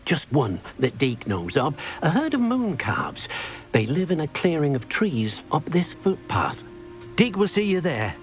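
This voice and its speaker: A kindly little house-elf helper for a video game, with pitch that swoops up and down animatedly. He is earnest, caring and politely gentle, with light music underneath. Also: wildly swooping pitch, a little slow. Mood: caring, careful. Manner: speaks of himself in the third person, gentle and polite. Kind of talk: video game character dialogue.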